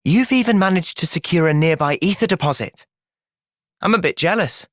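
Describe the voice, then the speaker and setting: An actor playing an earnest, thoughtful young male hero in a fantasy video game, speaking sincerely and clearly. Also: sits high, animated, moderate pace, no room sound, clean studio sound. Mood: calm, measured. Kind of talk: video game cutscene voice acting.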